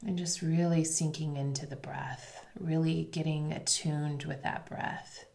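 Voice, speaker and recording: Woman, deep-set voice, a little stuffed up, podcast host, guided breathwork, casual unscripted talk, instructive. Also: fairly even intonation, unhurried, creaky, darkish tone. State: slightly congested voice after illness.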